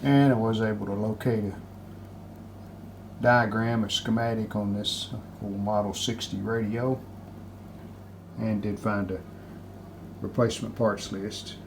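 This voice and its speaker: Slow-talking, low-voiced man, noisy recording. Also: steady tone. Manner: unhurried, thinking aloud. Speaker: hobbyist restorer presenting a repair video. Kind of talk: casual hands-on repair walkthrough. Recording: clear background noise.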